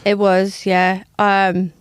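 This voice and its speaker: A woman's voice: medium pitch, monotone, drawn-out words, clean tone, no room sound, studio quality. A young adult woman, raised in London and South Wales, chatting as a podcast guest in a rambling, filler-heavy way. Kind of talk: casual podcast chat.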